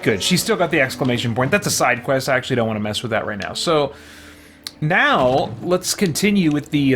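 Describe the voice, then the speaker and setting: A man's voice: fairly high pitch, fairly bright tone, a little hiss or hum. A man doing casual live gameplay commentary as a streamer, thinking aloud and reading things out. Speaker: game streamer. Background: faint game music.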